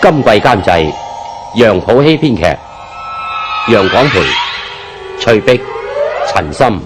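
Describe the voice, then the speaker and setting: A male announcer with a Hong Kong Cantonese accent reads drama credits over prominent music. His delivery is slow and theatrical, with lively swings in pitch.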